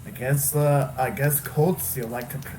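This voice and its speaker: A game commentator improvising casual dialogue haltingly, often repeating phrases while playing. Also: mid-range voice, fairly even intonation. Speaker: gaming video commentator. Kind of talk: casual let's play commentary mixed with improvised role-play dialogue. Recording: loud game music behind the voice. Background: loud video game music.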